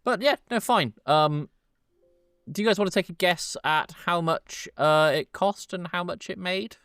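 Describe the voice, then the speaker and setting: A man's voice: high voice, a little slow, fairly bright tone, smooth, dry recording, studio quality. A man hosting a podcast, chatting loosely and casually about film, correcting himself and swearing offhand. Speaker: podcast host.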